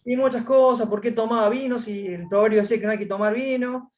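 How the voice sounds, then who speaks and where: A man's voice: very high voice, steady tone, fast talker. A man from Córdoba with an Argentine accent, telling his story as a guest in a casual interview, hesitating with many fillers. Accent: Argentine Spanish.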